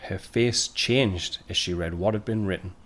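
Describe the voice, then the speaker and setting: A man's voice: deep voice, normal speed, a bit bright. A man playing a wry narrator, telling a 1919 tale in a dramatic storytelling style for an audio drama.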